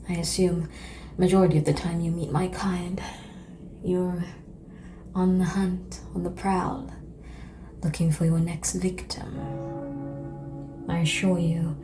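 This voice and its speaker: Slow, whispery female vampire, acted by a woman. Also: on the low side. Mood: amused, reassuring. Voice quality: soft, breathy, often whispered. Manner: slow, measured, formal phrasing with long pauses. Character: calm, seductive, ancient female vampire, courteous and philosophical. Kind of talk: ASMR roleplay. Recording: clear background noise and ambience.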